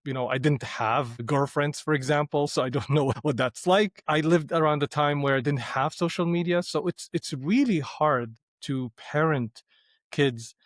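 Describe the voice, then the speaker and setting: A man's voice: fairly high pitch, moderate pace, dry recording, studio quality. Thoughtful middle-aged man from Syria. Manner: thoughtful, hesitant with restarts. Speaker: podcast guest. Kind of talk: reflective conversation.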